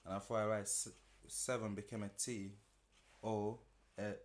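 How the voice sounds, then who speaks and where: A man, telling stories in a relaxed way with slang as an interview guest. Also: fairly low pitch, normal speed. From Nigeria. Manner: relaxed storytelling with slang, laughs often. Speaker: music producer and interview guest. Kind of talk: casual interview chat.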